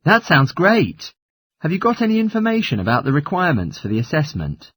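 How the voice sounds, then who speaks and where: A man's voice: fairly high pitch, wildly swooping pitch, brisk, fairly bright tone, slightly rough, dry recording, exaggerated voice. A man playing an agreeable geography student in a scripted listening-test study dialogue. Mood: friendly, uneasy. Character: male geography student, agreeable, a little nervous.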